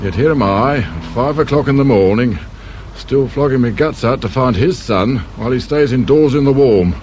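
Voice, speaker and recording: An actor playing a brusque, world-weary detective inspector with a British accent in a radio drama. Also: male voice, medium pitch, lively intonation, normal speed, darkish tone, lightly acted. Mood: grumbling, cynical. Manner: sardonic, brusque, grumbling. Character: gruff, cynical, world-weary male police detective inspector. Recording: faint noise, old radio drama.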